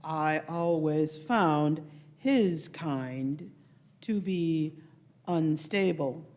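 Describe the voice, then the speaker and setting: A performer playing an aloof, suspicious alien delegate in a sci-fi radio play, with drawn-out, disdainful delivery. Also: mid-range voice, a little mellow.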